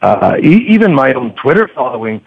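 A man talking casually and somewhat haltingly as a guest in an interview. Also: medium pitch, sing-song, gravelly, breathy edge, dry recording, muffled recording. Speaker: podcast guest, book reviewer.

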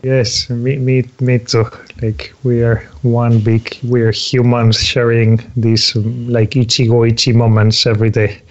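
Casting note: man in his thirties, from Spain, native Spanish speaker, halting author guest, dull lo-fi audio. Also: medium pitch, flat delivery. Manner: halting, searching for words. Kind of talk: casual interview conversation.

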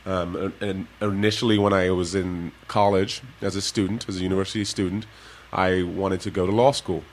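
Low-voiced man telling stories, relaxed. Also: fairly quick, a little hiss or hum. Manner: relaxed storytelling, laughs often. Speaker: guest, former pro basketball player. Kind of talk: casual interview talk to kids.

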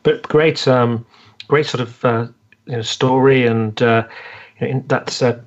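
A man's voice: medium pitch, steady tone, unhurried. A man hosting a podcast interview, speaking with many ums and restarts as he introduces his guest and asks questions. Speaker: podcast host. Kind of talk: interview conversation. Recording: dull lo-fi audio.

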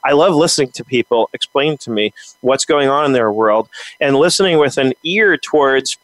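A man's voice: mid-range voice, normal speed, fairly bright tone, no echo, rough recording. Conversational male podcast host, dry sound. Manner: friendly, conversational, asks follow-up questions. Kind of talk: casual podcast interview.

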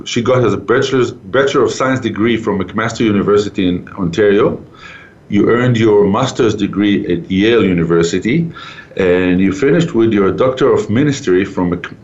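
A man hosting a conversational radio interview in a halting, informal way. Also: lowish voice, muffled recording. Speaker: talk show host.

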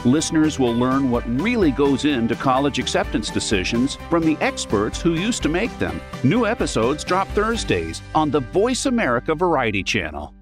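A man announcing a scripted radio promo in a smooth, upbeat way. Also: fairly high pitch, big pitch swings, normal speed, few pauses. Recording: promo voice over music.

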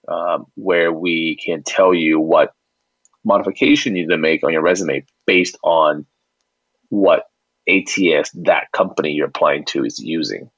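Low-voiced man explaining, dull lo-fi audio. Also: a bit bright, husky, no echo. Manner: halting, repeats words, explanatory. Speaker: company founder and CEO, interview guest. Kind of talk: conversational interview explanation. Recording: dull lo-fi band-limited audio.

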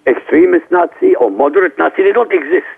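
A man's voice: a little high, fast talker, creaky, breathy edge. A middle-aged man from Iraq, a native Arabic speaker, speaking emphatically in a lecturing way as a radio talk show guest. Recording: tinny phone line.

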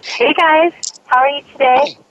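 A woman from South Carolina calling a radio show, hoarse from a cold, asking her question haltingly with many ums and likes. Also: sits high, steady tone, a bit bright, no room sound. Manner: hesitant, lots of likes and ums. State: has a cold, losing her voice. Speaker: caller. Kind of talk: phone-in question. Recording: tinny dry phone line.

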